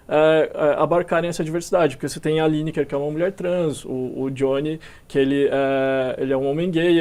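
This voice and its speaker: A young man from Minas Gerais, a musician being interviewed, talking in a relaxed way with many fillers and restarts. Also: a little high. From Minas Gerais, Brazil. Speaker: musician guest. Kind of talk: casual interview talk.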